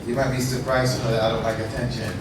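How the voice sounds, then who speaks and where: A man giving a welcome speech at a ceremony, modest and a bit halting as he greets the guests. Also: medium pitch, flat delivery. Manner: modest, halting, lists dignitaries with fillers. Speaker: foundation head addressing guests. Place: large echoey ceremony room. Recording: echoey room recorded from far off, noisy.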